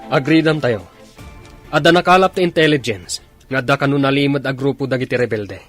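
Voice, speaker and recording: An actor with a Filipino accent playing a firm, alert military officer in a radio drama. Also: male voice, medium pitch, fairly quick, fairly bright tone, soft background music, close to an everyday voice. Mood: firm, urgent. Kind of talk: Ilocano radio drama acting.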